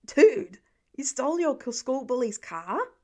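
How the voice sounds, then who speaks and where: A woman voicing a lively, teasing older boy in a story. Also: sits high, measured pace, heavily acted. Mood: incredulous.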